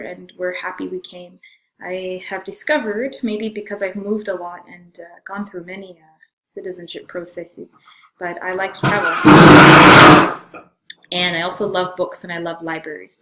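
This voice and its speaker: Middle-aged female French teacher from Zambia, informal course presentation, halting phrasing, roomy echoing sound. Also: medium pitch, steady tone, heavy background noise. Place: room in a house, indoors. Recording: echoey room sound.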